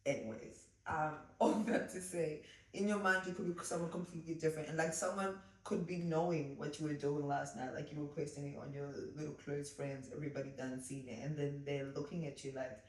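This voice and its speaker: Croaky woman in a very echoey room. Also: sits low, a little fast. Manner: animated storytelling, acts out quotes. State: slightly croaky voice. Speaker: podcast co-host. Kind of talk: casual faith chat. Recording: very echoey room, mic at a distance.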